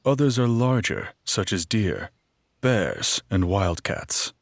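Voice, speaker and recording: A man playing a knowledgeable, wary minstrel guide in a fantasy audio drama, measured and explanatory. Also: fairly low pitch, animated, creaky, dry recording, studio quality, full character voice. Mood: informative.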